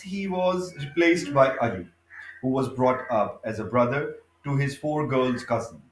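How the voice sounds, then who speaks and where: Slow-reading man in an echoey room. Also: mid-range voice, a bit bright. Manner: halting, stumbles and repeats words. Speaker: audiobook reader. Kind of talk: scripted narration, reading a biography aloud.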